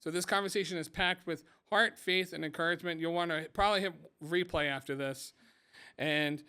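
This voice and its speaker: A man with a fairly high, smooth and resonant voice hosts a faith-centered podcast. He delivers an earnest, upbeat introduction and eases into a relaxed interview. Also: fairly quick. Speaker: podcast host.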